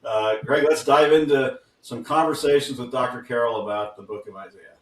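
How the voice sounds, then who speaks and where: A man's voice: mid-range voice, moderate pace. A groggy man co-hosting a podcast, chatting casually and rambling with lots of fillers and self-corrections. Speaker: podcast co-host. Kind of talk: casual interview talk. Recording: roomy sound from a distant mic.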